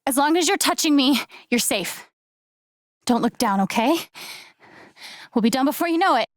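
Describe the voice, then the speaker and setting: A woman's voice: sits high, lively intonation, moderate pace, no echo, clean studio sound. A woman voice acting an earnest, caring young heroine in a video game, with casual, hesitant, naturalistic delivery. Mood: gentle, reassuring. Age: young adult. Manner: casual, hesitant, conversational phrasing.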